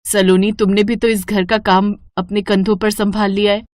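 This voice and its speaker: A woman voicing a gentle, caring and faithful wife in a cartoon moral story. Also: mid-range voice, little pitch movement, moderate pace, no room sound, clean studio sound, lightly acted. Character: gentle, faithful waiting wife and sister-in-law.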